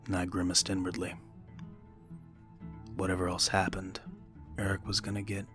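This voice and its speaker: A man reading a scripted horror story as narrator, in a hushed, measured manner. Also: deep voice.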